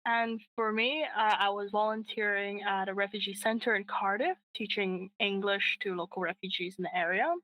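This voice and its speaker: A woman's voice: medium pitch, fairly even intonation, normal speed, hardly any pauses, sharp tone, low-quality recording. A woman co-founder answering interview questions in an earnest way, with frequent fillers and restarts. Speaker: app co-founder, guest.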